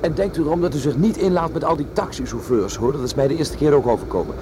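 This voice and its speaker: An actor playing an effusive, sentimental gentleman traveller in a radio drama, exclaiming and savouring his words. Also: fairly high pitch, fast talker, close to an everyday voice. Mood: chatty. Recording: noisy track with vehicle ambience.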